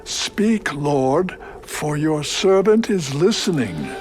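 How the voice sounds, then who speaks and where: A weary, nearly blind old priest speaks slowly and solemnly, and his fairly high voice swings through a wide range. The audio drama has music playing clearly beneath him. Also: lively intonation. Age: older.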